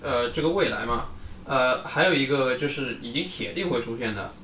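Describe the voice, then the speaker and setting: A man's voice: medium pitch, animated, moderate pace, pushed and bright. A man hosting a casual motor racing chat, steering the conversation from one topic to the next. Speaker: podcast host. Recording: roomy, distant mic, faint noise.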